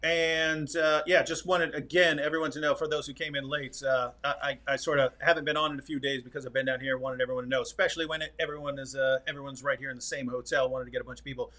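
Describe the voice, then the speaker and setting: A man hosting a livestream from a hotel, relaxed and folksy as he wraps up the show. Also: medium pitch, fast, few pauses. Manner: relaxed, folksy, loose fillers. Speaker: livestream host. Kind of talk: casual livestream chat.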